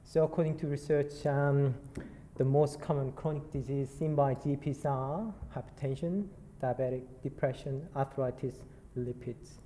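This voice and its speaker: A man's voice: medium pitch, steady tone, dark tone, slight background noise. Korean-accented man presenting in an echoing hall. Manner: halting, self-deprecating, many fillers. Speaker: nurse presenter. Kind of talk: conference talk. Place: conference hall. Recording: reverberant hall, faint background ambience.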